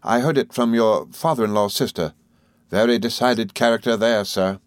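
A meek little country doctor from a storybook, as a male reader puts him on, slow and hesitant, apologizing with every phrase. His voice is lifted a little above a man's lowish speaking tone, soft and deferential. It sits close and dry, with no room around it. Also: no echo, natural voice. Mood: meek, fluttered.

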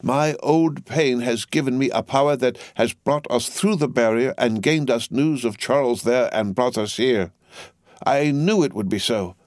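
A male narrator acts a proud elderly doctor from a classic novel. He speaks with cool confidence and boldness, pitched above the reader's own voice, in a dry space. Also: measured pace, darkish tone, no room sound, natural voice. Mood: cool, confident. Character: proud, self-assured old doctor, former prisoner.

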